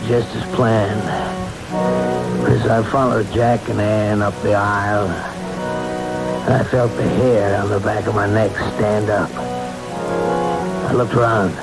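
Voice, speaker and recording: A haunted best man, narrating a radio ghost story in a lowish voice. He is grave and measured, with loud music running underneath. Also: little pitch movement, rough recording. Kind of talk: old-time radio drama narration.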